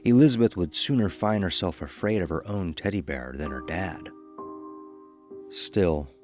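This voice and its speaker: A man narrating a scripted horror story. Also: a bass voice.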